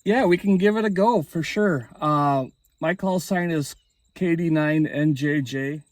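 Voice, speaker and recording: A man's voice: a little high, measured pace, no echo. A man speaking casually as an amateur radio operator hosting a hobby video. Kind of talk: casual hobby vlog talk and radio calling.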